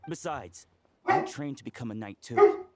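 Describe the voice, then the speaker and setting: A man's voice: mid-range voice, wildly swooping pitch, moderate pace, crowd noise. A man voicing a diffident, earnest young trainee medic in an anime game, hesitant and polite.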